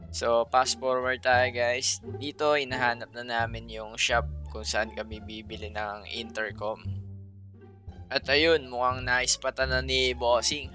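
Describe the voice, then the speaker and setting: A man's voice: medium pitch, little pitch movement, measured pace, pushed and bright. A Filipino man doing a casual, playful vlog voice-over, teasing and chatting straight to his viewers. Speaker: motovlogger narrating own trip. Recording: music behind the voice-over.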